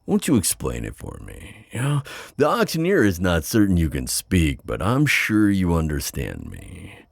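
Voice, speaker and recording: Man acting a very deep, gravelly, teasing predator. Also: huge pitch swings, no echo, clean studio sound, full character voice. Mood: mocking, coaxing. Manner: slow, taunting, smooth-talking with drawn-out pauses. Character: teasing, predatory male buyer at a supernatural auction. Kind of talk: flirty ASMR roleplay, intimate acting.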